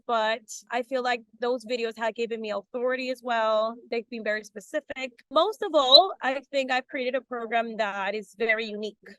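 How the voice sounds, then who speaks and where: A woman's voice: fairly high pitch, a bit bright, no echo, rough recording. A woman from Ecuador, giving a chatty testimonial about her coaching business with many fillers and restarts. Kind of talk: casual testimonial interview.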